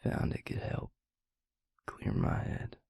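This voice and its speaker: A man playing a weary, grieving vampire lover, tender and gruff, in an intimate audio roleplay with a husky, gravelly murmur. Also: darkish tone, no room sound, studio quality, close to an everyday voice. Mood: weary, brooding. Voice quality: husky, breathy, gravelly low voice. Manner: slow, halting, murmured, with sighs.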